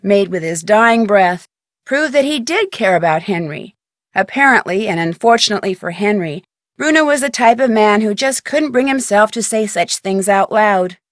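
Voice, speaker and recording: An actress playing a composed, wry young woman detective, narrating a game's story in a clear, measured way. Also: medium pitch, dry recording, clean studio sound, natural voice. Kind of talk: scripted game narration.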